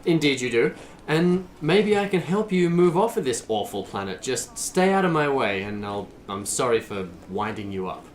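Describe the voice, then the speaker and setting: A man's voice: mid-range voice, very animated, normal speed, reverberant, slight background noise, natural voice. A man playing a cavalier, wisecracking space smuggler in an audio drama, breezy and glib. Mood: conciliatory. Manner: breezy, glib, mock-innocent.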